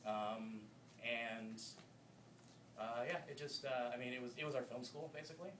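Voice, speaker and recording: A man's voice: medium pitch, steady tone, moderate pace, a bit bright. A man on a film panel telling his story casually, laid-back and full of fillers. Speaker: filmmaker on a festival panel. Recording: echoey room mic from far off, noisy.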